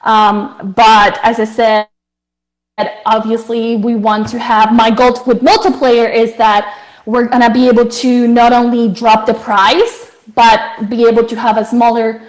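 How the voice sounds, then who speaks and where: A woman's voice: fairly high pitch, little pitch movement, measured pace, poor audio. A woman from Colombia whose first language is Spanish, earnestly explaining her startup on a video call, with many fillers and restarts. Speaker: startup founder pitching.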